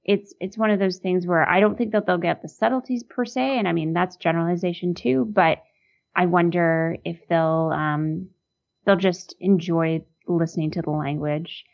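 Low-voiced woman on a dull, lo-fi line. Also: fairly even intonation, moderate pace, vocal fry. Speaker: podcast guest. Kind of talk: casual podcast discussion.

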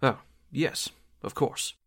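A man playing a fussy, haughty theatrical producer with a husky voice in a 1940s-style radio drama. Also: takes it slowly, fairly bright tone.

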